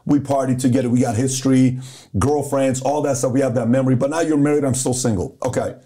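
Man from Iran, middle-aged, warm mellow tone, animated storyteller, acts out conversations. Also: medium pitch, quick pace. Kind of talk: casual podcast storytelling.

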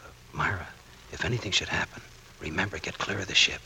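An actor playing a reserved, duty-bound sailor in a 1940s radio drama, terse and restrained. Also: male voice, low-pitched, steady tone, fast, sharp tone, slight background noise, low-quality recording. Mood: hushed, tense. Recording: 1940s radio broadcast recording.

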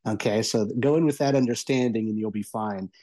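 A man speaking as a guest reviewer in a conversational discussion, haltingly, repeating words for emphasis. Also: fairly low pitch, mellow.

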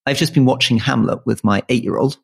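Gravelly, halting man from Malaysia. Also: medium pitch. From grew up in Malaysia. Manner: earnest, halting, many restarts and repeated words. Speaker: podcast co-host. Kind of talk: casual political chat.

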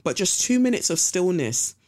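A woman chatting through her podcast in an easygoing, meandering way. She drifts between thoughts, swears casually and fills pauses with ums. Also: fairly low pitch, sing-song, darkish tone. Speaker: podcast host. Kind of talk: casual spiritual podcast chat.

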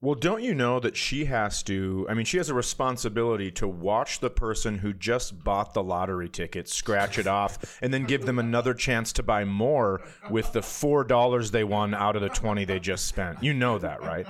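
A man's voice: on the low side, moderate pace, hardly any pauses. A man co-hosting a podcast with casual comedic banter, riffing in a teasing, profane way. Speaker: podcast co-host.

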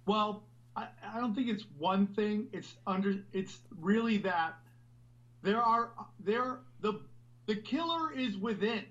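Man guest, high voice, loud and rambling, swears a lot, dull lo-fi recording. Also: slow. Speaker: podcast host and comedian guest. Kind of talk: animated interview talk.

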